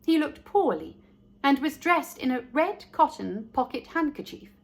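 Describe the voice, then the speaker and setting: A woman reading a children's story aloud as host, speaking directly to young listeners in a deliberate way. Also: high-pitched, very animated, gravelly.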